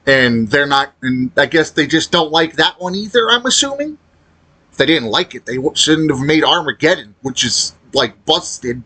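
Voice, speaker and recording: Halting husky man with swooping intonation. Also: fairly high pitch, big pitch swings, pushed and bright. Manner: rambling, halting delivery with trailing thoughts. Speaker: video creator commenting on a game. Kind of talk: unscripted casual gaming commentary.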